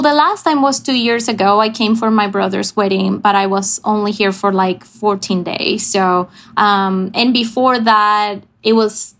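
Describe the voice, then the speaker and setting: A woman speaks over a video call from her family home. She chats in an unhurried, casual way, laughing easily and stopping on little fillers. Her voice sits at a middle pitch. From Venezuela. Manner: warm, laughs often, halting with fillers. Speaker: missions pastor. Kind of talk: casual interview chat.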